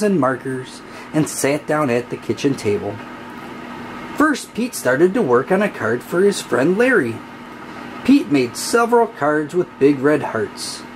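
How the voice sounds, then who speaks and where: Slow, animated storybook reader. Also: medium pitch, background noise. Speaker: storybook narrator. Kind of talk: children's read-aloud narration.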